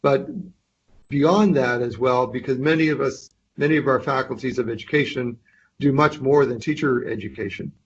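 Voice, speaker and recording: A man's voice: mid-range voice, fairly even intonation, normal speed, slight background noise. Hesitant man on dull call audio. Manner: hesitant, many restarts and fillers. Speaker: dean, association member. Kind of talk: conference call remarks. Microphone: conference line.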